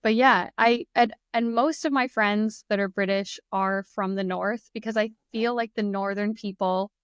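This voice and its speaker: Young female guest, Midwestern American accent, chatty and upbeat; smooth clear voice, dry close mic. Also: mid-range voice, fairly even intonation, normal speed, no echo. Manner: enthusiastic, laughs often, chatty. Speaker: guest, English teacher. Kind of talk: casual conversation.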